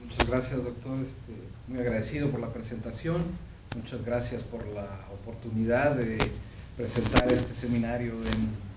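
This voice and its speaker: A man giving an academic lecture as a visiting professor, measured and filler-heavy. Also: mid-range voice, steady tone. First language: Spanish. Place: university lecture hall. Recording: roomy hall, distant mic, faint hum.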